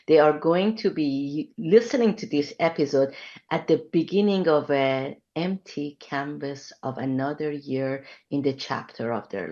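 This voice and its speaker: Low-voiced reflective Iranian-born woman. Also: a little mellow, lo-fi sound. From Iran. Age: 50s. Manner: reflective, thoughtful, hesitant with many ums. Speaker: guest. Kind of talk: conversational interview.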